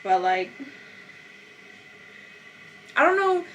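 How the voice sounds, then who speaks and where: A young woman with an American accent talks in a casual, chatty vlog style. Her voice sits fairly high and she leans on words informally. There is clear background noise behind her. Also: very animated, very slow, a bit bright, lo-fi sound. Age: young adult. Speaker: lifestyle vlogger.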